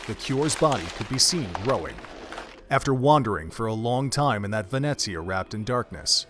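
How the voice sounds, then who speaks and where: Guy narrating a dark audio drama, solemn and literary, medium pitch, noise behind him.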